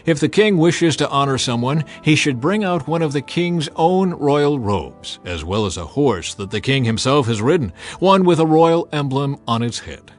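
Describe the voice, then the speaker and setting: A boastful, scheming court official acted out by a man speaks grandly and emphatically. The pitch is lifted and sweeps up and down, with faint music behind, as in a dramatized audiobook. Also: animated, moderate pace, few pauses, natural voice. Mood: smug, grand. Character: boastful, vain, scheming male royal official. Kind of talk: dramatized audiobook character acting.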